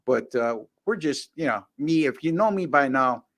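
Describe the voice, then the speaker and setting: A man's voice: mid-range voice, animated, fairly bright tone. A man hosting a live sports betting show, joking and rattling off rankings and stats in casual chat. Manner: joking, rapid-fire stat rundowns.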